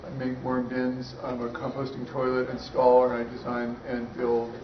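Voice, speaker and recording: A man's voice: mid-range voice, completely flat delivery, moderate pace. A man introducing himself informally as a workshop participant. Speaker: workshop participant, worm composter. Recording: distant, echoey, muffled, noisy room recording.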